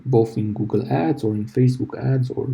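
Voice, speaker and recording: A man with a dark, low-bright, gravelly voice teaches a software walkthrough. He talks casually and thinks aloud. He often restarts a phrase to fix a word. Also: normal speed. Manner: casual, explanatory, self-correcting, thinking aloud. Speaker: tutorial instructor. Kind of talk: screen-share software tutorial.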